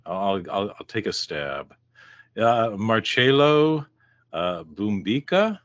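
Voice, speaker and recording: Brief: relaxed male interviewer, low-pitched, dry close sound. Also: slow pace, no echo, highs cut off. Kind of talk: casual interview questions.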